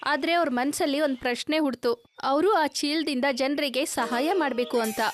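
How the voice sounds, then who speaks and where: A woman reading scripted narration for an animated children's moral story. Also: high-pitched, moderate pace.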